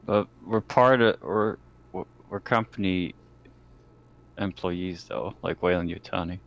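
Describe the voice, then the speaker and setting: A man's voice: deep voice, measured pace, gravelly, fairly bright tone, lo-fi sound. A man bantering casually as a player in a tabletop game, teasing and joking.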